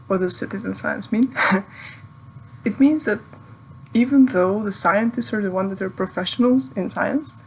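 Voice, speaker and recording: A woman's voice: mid-range voice, a little mellow, slight background noise, poor audio. A young woman from Serbia, a PhD student whose first language is Serbian, giving friendly, thoughtful advice in English in a recorded video message. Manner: friendly, thoughtful, with light laughs.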